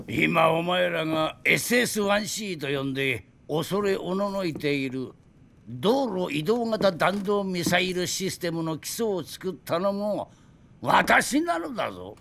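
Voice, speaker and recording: An embittered, drunken old weapons designer in a video game drama. His high-pitched voice has a creaky edge and swings widely as he boasts and rants with theatrical indignation. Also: big pitch swings, unhurried, a bit bright, full character voice. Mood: boastful. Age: older. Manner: theatrical, grandiose, indignant ranting.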